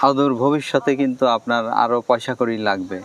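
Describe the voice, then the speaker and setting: A man's voice: medium pitch, a little fast, a bit bright, lo-fi sound. A man with a Bangladeshi accent, interviewing a guest in a conversational style.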